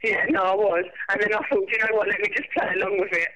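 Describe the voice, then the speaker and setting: A woman's voice: medium pitch, steady tone, fast, pushed and bright. A middle-aged woman, blunt and sweary in casual phone conversation. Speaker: prank call victim. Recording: muffled phone line with chatter.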